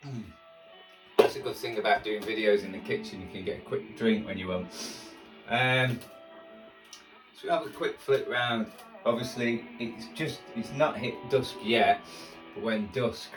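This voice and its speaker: A low-pitched man talks casually and a little self-deprecatingly as he tunes a radio for a hobby video. He rambles along in a roomy kitchen, some way from the microphone, with music clearly audible behind him. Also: steady tone, a little slow, recorded from a distance. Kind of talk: casual chatty commentary while tuning a radio. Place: indoors in a kitchen. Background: music playing from a shortwave radio.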